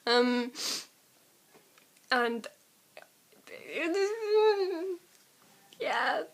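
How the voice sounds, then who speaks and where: A woman's voice: extremely high pitch, expressive, drawn-out words. A young woman chatting casually and ramblingly to camera as an amateur vlogger, with a giggly, self-deprecating, informal manner.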